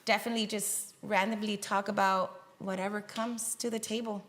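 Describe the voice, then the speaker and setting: A woman's voice: mid-range voice, level tone, normal speed, a bit bright. A woman from the San Fernando Valley co-hosting a casual podcast chat, reassuring and wrapping things up. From San Fernando Valley, California. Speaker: podcast co-host. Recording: echoey room.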